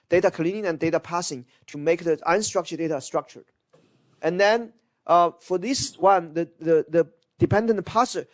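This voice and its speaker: A man's voice: high-pitched, no room sound. A man who is a non-native English speaker lecturing informally over slides, repeating himself and checking in with listeners. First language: not English, non-native speaker. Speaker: university lecturer.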